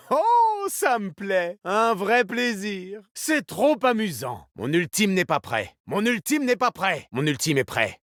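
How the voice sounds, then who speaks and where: An actor playing a suave, vain, courteous video game agent with a polished, smug delivery of short tactical lines and taunts. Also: male voice, medium pitch, fairly bright tone, dry recording, studio quality. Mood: delighted, then smooth, confident. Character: suave, vain male agent, polite and self-assured.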